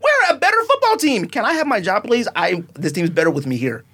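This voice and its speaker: A woman co-hosting a podcast, arguing a sports point in an insistent way and sometimes shouting. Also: mid-range voice, sing-song, fairly quick, husky. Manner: argumentative, insistent, breaks into shouts. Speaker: podcast co-host. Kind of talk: heated casual sports debate.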